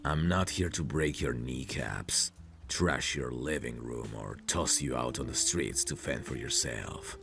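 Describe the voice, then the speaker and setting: A man playing a possessive, protective mafia boss in an intimate audio roleplay, calmly menacing, commanding and smug. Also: music in the background, full character voice. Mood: calm menace. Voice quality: deep, gravelly. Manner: slow, commanding, smug, teasing endearments.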